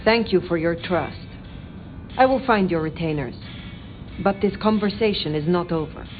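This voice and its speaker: Actress doing a cool commanding old vampire sheriff lady, game dialogue, kinda low voice, super measured, music behind. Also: narrow, tinny audio, lightly acted. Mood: resolute. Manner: formal, measured, archaic phrasing. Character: formal, commanding female elder vampire sheriff, coolly direct. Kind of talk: video game dramatic dialogue.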